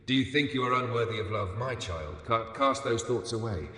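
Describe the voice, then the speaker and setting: A man giving a scripted religious narration as the voice of God, solemn and soothing, in short deliberate phrases. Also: mid-range voice, bright, forceful tone. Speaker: devotional narrator speaking in the voice of God. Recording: echoey, long reverb over music. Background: music.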